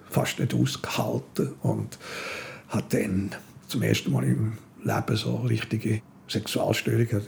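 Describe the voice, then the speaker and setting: An older man with a Swiss accent and a creaky voice, telling anecdotes in short phrases in casual conversation. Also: on the low side. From Switzerland. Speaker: interview guest. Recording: clear ambient background noise.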